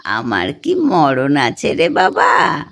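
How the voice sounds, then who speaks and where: Frail old widow, rural Bengali accent, audio drama, crawling pace, low female pitch, plaintive, affectionate. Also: huge pitch swings, gravelly, fairly bright tone, slight background noise, full character voice. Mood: tender, plaintive. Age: older. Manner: slow, plaintive, drawn-out speech.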